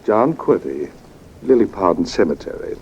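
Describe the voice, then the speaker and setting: A man's voice: on the low side, very animated, unhurried, a little mellow, husky, heavily acted. An actor playing an unctuous, timid cemetery salesman in a 1940s radio drama, solicitous and hesitant. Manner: solicitous, stammering. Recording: dull, noisy old radio recording.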